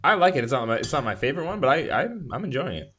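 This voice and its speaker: A man's voice: mid-range voice, big pitch swings, very fast, pushed and bright, husky. A man co-hosting a podcast, chatting casually in a playful, rambling way. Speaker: podcast co-host. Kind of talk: casual chat.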